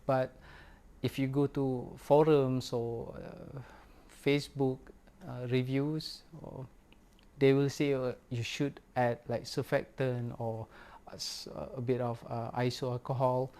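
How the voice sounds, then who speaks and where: A man whose first language is Malay, giving a casual, unhurried product review in English with many pauses and fillers. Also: medium pitch, level tone, warm tone. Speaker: record store owner reviewing gear.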